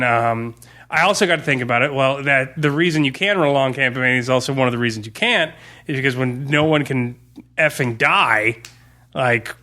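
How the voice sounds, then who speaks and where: A man's voice: medium pitch, bright, forceful tone. A man talking informally as a podcast guest and game master, thinking aloud as he explains his campaign design.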